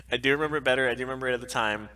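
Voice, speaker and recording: A man's voice: mid-range voice, racing, sharp tone. A man co-hosting a casual chat stream, giving opinions in an emphatic, rambling way. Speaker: co-host of a weekly pop culture stream.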